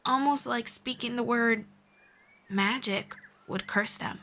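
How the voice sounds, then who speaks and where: A woman playing a friendly, curious elf in a roleplay audio drama, speaking in a gentle, measured and formal way to one listener. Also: on the high side. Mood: thoughtful, earnest.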